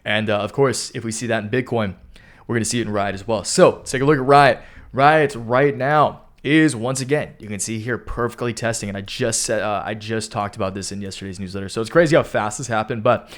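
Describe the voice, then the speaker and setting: A young man with a fairly low voice, hoarse and losing it, who keeps stopping to clear his throat. He talks through market charts in a casual, pumped-up way, speaking into a close mic. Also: a little fast. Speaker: stock market video host. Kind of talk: casual chart analysis talk.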